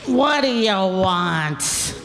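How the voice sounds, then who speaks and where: An actor playing a surly, hostile resident with gruff, folksy, ungrammatical speech in a radio drama. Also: medium pitch, expressive, drawn-out words, noisy background, full character voice. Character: surly, uneducated resident of an old house, curt and hostile. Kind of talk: old-time radio drama acting. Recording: echoey hall, music.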